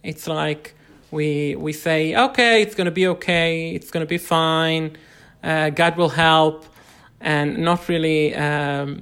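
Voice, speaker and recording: A man from Israel with an Israeli accent, a native Hebrew speaker explaining things informally in halting phrases as a podcast guest. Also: a little high, fairly even intonation, fairly bright tone, smooth.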